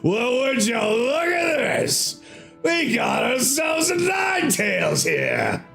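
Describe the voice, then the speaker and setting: A man plays a smug, contemptuous gate guard in a tabletop fantasy game. His pitch swoops up high as he gloats and mocks, with drawn-out words, over faint background music. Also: big pitch swings, vocal fry, bright, forceful tone, shouting, exaggerated voice. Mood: gloating. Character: gloating, bigoted male gate guard, mocking captors and prisoners.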